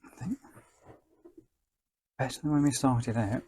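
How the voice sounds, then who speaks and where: A man talks softly through a hands-on ASMR demonstration. His voice is husky and relaxed, with a dry, close sound. He murmurs casually as he works, sometimes dropping almost to a whisper. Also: medium pitch, measured pace, no room sound. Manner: quiet, murmured talk to himself while working, at times near whispering. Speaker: presenter of a restoration demonstration.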